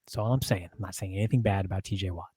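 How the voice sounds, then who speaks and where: A man's voice: sits low, dark tone, breathy edge, dry recording. Wry man talking football, dry sound. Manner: wry, teasing, measured reasoning. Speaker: podcast co-host, football analyst. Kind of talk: casual sports chat.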